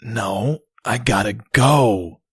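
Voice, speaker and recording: A man voicing a weary, injured young male pony in an audio story, soft and downcast. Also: fairly low pitch, extremely slow, breathy edge, no room sound, clean studio sound, voice pushed up, heavily acted. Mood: urgent.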